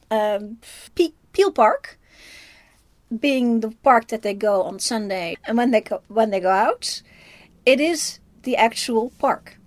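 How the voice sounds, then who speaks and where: Woman, Dutch accent, high voice, dry close sound, casual film reviewer, halting thinking-aloud delivery. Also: no room sound. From Netherlands.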